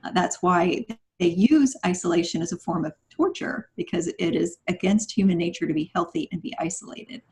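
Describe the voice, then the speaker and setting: A woman speaking as a podcast guest writer, explaining things in an articulate, measured, conversational way. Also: fairly low pitch, a little mellow, slight background noise.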